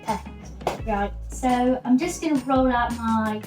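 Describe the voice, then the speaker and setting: A woman's voice: fairly high pitch, slow pace, a little mellow, soft background music. A young woman from England chatting casually as an amateur baker while she cooks. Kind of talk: casual chat while baking. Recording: roomy, distant mic.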